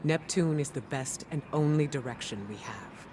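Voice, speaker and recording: A man acting a grave, resolute military leader in a game cutscene, speaking in a solemn, deliberate way. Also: a little high, noisy background, lightly acted. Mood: solemn resolve.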